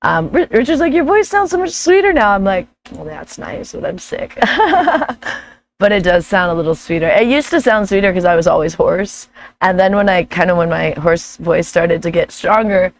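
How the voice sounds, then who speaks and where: A woman with a hoarse, gruff voice chatting casually in her vlog, rambling and laughing often. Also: medium pitch, expressive, normal speed. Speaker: vlogger. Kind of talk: casual chat.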